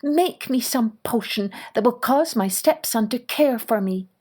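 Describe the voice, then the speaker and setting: A woman's voice: a little high, animated, unhurried, darkish tone. A woman storyteller voicing an earnest, desperate stepmother in a folk tale, with a pleading manner. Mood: pleading, anxious. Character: earnest, desperate young stepmother seeking help.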